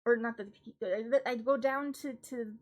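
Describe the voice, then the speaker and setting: A woman's voice: fairly even intonation, normal speed. A young woman, a classical singer streaming live, chatting casually with lots of restarts and giggly asides. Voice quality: high singing voice. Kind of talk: casual stream chat, then singing along.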